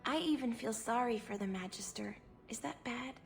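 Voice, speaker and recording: An actress playing a timid young elven servant in a video game, meek, deferential and eager to please. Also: female voice, on the high side, normal speed, gravelly, faint music behind. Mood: sad, meek.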